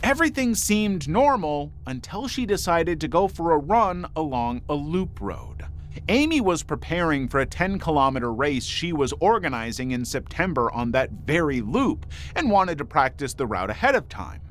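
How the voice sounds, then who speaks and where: A man's voice: fairly high pitch, sing-song, normal speed. A man reading scripted true crime narration as the narrator of a video. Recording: music bed under the voice. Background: background music.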